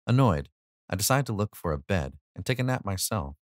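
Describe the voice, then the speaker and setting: A man's voice: fairly low pitch, expressive, a little fast, dry recording, studio quality. A man narrating a scripted short story as an audio reading.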